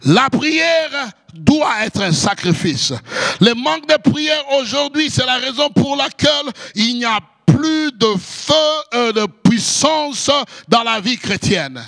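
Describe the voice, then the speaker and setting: A male pastor, a native English speaker, preaching a sermon in French with impassioned shouts and sing-song cadences. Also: very high voice, lively intonation, slow.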